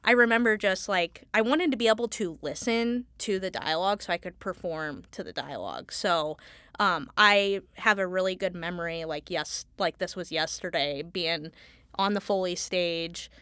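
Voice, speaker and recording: A woman speaking as a guest in a casual interview, informal and halting as she thinks aloud. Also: lowish voice, pushed and bright, clean tone, no room sound. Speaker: Foley artist, interview guest.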